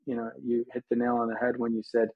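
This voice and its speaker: A man's voice: medium pitch, completely flat delivery, quick pace, breathy edge, narrow, tinny audio. An American man from Colorado answering interview questions conversationally, with anecdotes, as a guest from a missions organization. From United States, Colorado.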